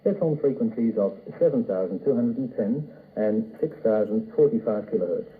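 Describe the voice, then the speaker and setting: A man reading a formal, scripted shortwave station announcement in a measured way as a radio announcer, on an old off-air recording. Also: fairly low pitch, animated, phone-quality sound.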